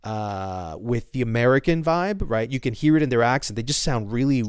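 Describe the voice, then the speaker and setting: An animated male host talks through ideas in casual conversation, acting out other people's viewpoints as he goes. His voice is clear and mid-pitched. The sound is close and dry. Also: moderate pace, dry recording. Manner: animated, acts out quoted viewpoints. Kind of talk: casual podcast chat.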